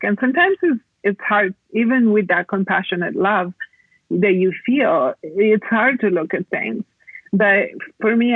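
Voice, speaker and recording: A woman from Italy, explaining reflectively as a podcast guest in a halting, conversational way over a remote call. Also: mid-range voice, dry recording, narrow, tinny audio. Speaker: podcast guest, plant medicine practitioner.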